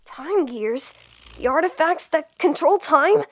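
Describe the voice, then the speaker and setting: An eager young electric cub, voiced by a woman, speaks in a high, earnest voice and pushes impulsively to be part of everything. The fan comic dub keeps the delivery excitable and sincere. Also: takes it slowly. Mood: pleading.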